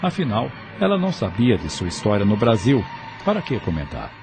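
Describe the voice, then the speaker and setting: A man's voice: fairly low pitch, wildly swooping pitch, brisk, gravelly, music in the background. A man narrating an audio novel in a scripted, dramatic storytelling style, with faint ambient noise.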